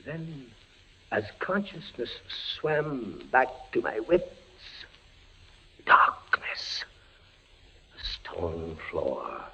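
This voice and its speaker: Fevered, frightened prisoner, wildly swooping delivery. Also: male voice, medium pitch, huge pitch swings, vocal fry, sharp tone, heavily acted. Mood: dazed, haunted. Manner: halting, repeating words, dramatic. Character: fevered, frightened condemned soldier, weakened prisoner in a dungeon. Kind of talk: old-time radio horror acting. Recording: dull lo-fi radio recording, faint noise.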